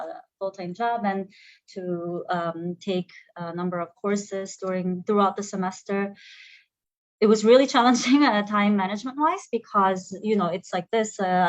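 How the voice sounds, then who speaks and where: Korean woman, non-native English, halting with lots of restarts, dry close sound, dull audio. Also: fairly low pitch, dry recording. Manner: hesitant, many fillers and restarts. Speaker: graduate alumna. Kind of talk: conversational panel interview.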